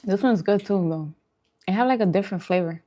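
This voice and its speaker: A woman's voice: medium pitch, brisk, dark tone. A woman hosting a mukbang, chatting casually to viewers between bites with murmured reactions. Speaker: mukbang host. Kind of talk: casual eating chat to viewers.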